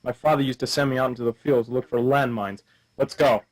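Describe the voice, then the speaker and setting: An actor playing a naive, excitable amnesiac action hero who exclaims and wisecracks in a comic radio play. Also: male voice, medium pitch, fast, close to an everyday voice. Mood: eager. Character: bumbling, excitable amnesiac male action hero, cheerfully clueless.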